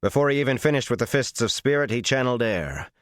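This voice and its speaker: A man reading a dramatic fantasy audiobook as its narrator, with a measured, intense and expressive delivery. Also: medium pitch, no room sound, studio quality.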